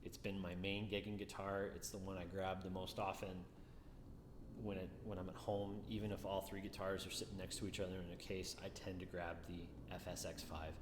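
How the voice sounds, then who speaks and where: A man with a deeper voice giving a casual guitar review, musing and repeating words as he talks. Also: flat delivery, fairly quick, reverberant, in a large hall, background noise.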